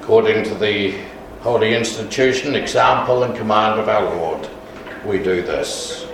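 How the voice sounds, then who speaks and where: A man leading a communion service, speaking quietly and solemnly in prayer without a microphone. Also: lowish voice, normal speed. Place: large echoey church without a mic. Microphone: distant room recording. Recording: far-off voice in an echoey hall with noise.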